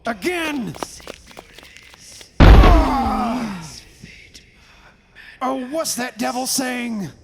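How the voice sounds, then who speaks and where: Man playing anguished father, creaky voice, melodramatic radio acting, long reverb, faint hiss. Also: very high-pitched, animated, extremely slow, pauses often, heavily acted. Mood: straining, frantic. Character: desperate, anguished father trying to rescue his daughter. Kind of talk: old-time radio horror drama acting. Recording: hissy hall recording with long reverb.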